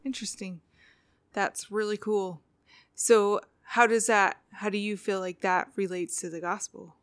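A woman's voice: medium pitch, fairly even intonation, measured pace, no echo, studio quality. Curious, encouraging woman interviewer. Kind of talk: casual interview.

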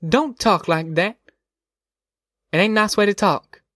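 A man's voice: sits high, a little slow, bright, forceful tone, dry recording, studio quality, pitched above the performer's own voice. A man voicing a weary, firm farm mother with a curt, folksy manner in an audiobook. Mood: weary firmness.

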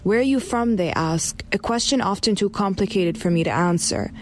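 A woman raised in Dubai, reading a personal essay in a steady, reflective way. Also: medium pitch, normal speed. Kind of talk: personal essay read aloud.

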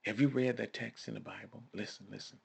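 A man's voice: fairly low pitch, steady tone, a little fast, creaky, husky. A man preaching a sermon in a conversational, emphatic way, repeating phrases and calling on listeners to respond.

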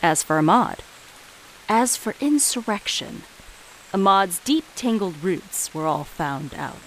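Slow, wry woman storyteller. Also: mid-range voice, animated, slight background noise, poor audio. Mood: grave. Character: wry female storyteller narrating a murder tale. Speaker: narrator. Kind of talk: dramatic audio drama narration.

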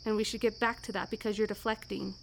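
A woman's voice: medium pitch, flat delivery, quick pace, a little hiss or hum, close to an everyday voice. A woman playing a protective, tender but insistent telepathic wife in an audio drama. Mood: tender, firm.

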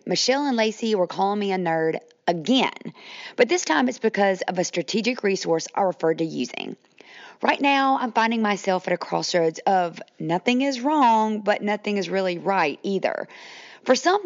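Southern lady hosting a podcast, croaky allergy voice, chatty, says y'all, kinda dull audio. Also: mid-range voice, lively intonation, moderate pace. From Mississippi, US. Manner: chatty, says "y'all", addresses listeners directly. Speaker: podcast host. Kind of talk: casual podcast monologue.

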